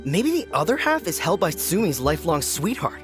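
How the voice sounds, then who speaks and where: An actor playing a goofy, lovestruck young soldier in a video game, musing in a theatrical, melodramatic way. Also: male voice, fairly high pitch, very animated, brisk. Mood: insistent, smitten. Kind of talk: video game character dialogue. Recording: music behind the voice.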